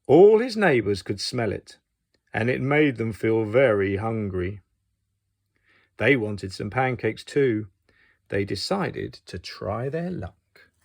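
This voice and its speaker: A man reading a picture book aloud to young children as an expressive storyteller. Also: fairly low pitch, slow pace, vocal fry.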